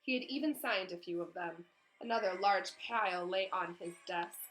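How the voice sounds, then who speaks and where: A woman's voice: on the low side, moderate pace, fairly bright tone, low-quality recording. A woman reading a children's storybook aloud expressively, in the way of a teacher.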